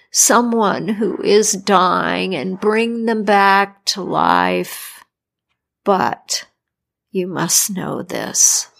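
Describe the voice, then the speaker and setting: A woman voicing a stern male Death figure in a told fairy tale, with slow, measured warnings and breathy whispers. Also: mid-range voice, fairly bright tone, heavily acted.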